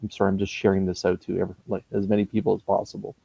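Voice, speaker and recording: Man in his fifties from Canada, low gravelly voice, hesitant casual talk, dry close sound. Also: completely flat delivery, racing, mellow, no room sound. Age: 50s. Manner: hesitant, many fillers and restarts. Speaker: guest comic book YouTuber. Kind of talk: casual interview chat.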